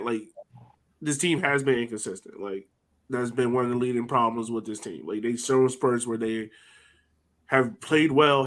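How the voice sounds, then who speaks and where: A man with a mid-range voice hosts a casual sports podcast. He talks conversationally and gives his opinions freely. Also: medium pitch. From United States. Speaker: podcast host.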